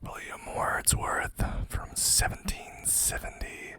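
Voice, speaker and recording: An ASMR host whispering poems into a close mic, reading slowly with chatty asides, voice a little hoarse. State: slightly hoarse, losing voice.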